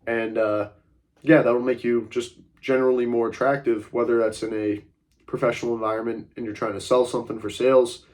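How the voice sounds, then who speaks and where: A young man with a stuffy, congested voice talks straight to his camera in a casual vlog. His pitch sits in an ordinary middle range. He rambles through his advice with lots of "like" and "uh" and stays blunt, now and then throwing in a curse. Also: level tone, a little fast. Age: young adult. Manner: rambling, frequent fillers like "like" and "uh"; blunt, occasionally swears. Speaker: self-improvement vlogger.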